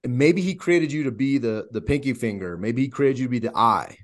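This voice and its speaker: A man's voice: mid-range voice, fairly quick. A man co-hosting a podcast, speaking in a reflective, earnest way about faith. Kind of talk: casual podcast conversation.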